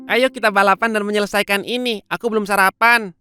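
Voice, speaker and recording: A man's voice: high-pitched, steady tone, fast talker, sharp tone. A man voicing a boastful, arrogant male hare in a dubbed children's cartoon, with a mocking, lazy swagger. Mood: impatient. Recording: faint music behind. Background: faint background music.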